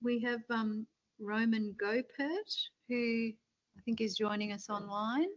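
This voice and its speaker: A woman's voice: fairly high pitch, steady tone, takes it slowly. Aussie woman running a hearing panel, polite, procedural, bit muffled lo-fi audio. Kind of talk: chairing a formal hearing. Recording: lo-fi video call audio.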